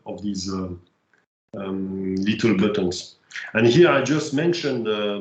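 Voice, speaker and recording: A man's voice: medium pitch, lo-fi sound. Hesitant man lecturing in an echoey room. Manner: hesitant, many fillers and restarts. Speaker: scientist giving a lecture. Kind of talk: academic presentation with slides.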